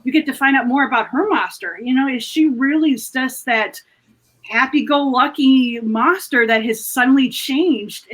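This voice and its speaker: A woman with a somewhat high-pitched voice speaks as a fan panelist. She explains things informally and step by step, as recommendations to listeners. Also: a bit bright, low-quality recording.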